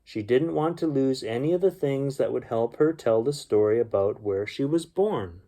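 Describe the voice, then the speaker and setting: A man with a soft-edged, mellow tone reads a bedtime story for children. His delivery is gentle and unpolished, moving between storybook reading and informal talk to his young listeners. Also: medium pitch, animated, moderate pace. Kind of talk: storybook read-aloud with casual asides.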